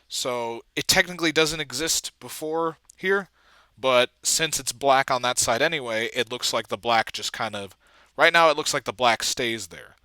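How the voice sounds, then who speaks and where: A man giving a casual walkthrough as an amateur video editor, thinking aloud haltingly and trailing off. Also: mid-range voice, sharp tone, smooth, dry recording. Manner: halting, trailing off mid-thought.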